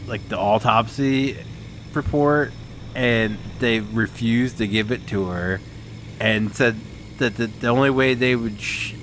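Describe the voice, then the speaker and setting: Laid-back man with a slight Southern drawl. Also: fairly low pitch. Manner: laid-back, swears casually, laughs often. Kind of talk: casual banter while gaming. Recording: steady background noise.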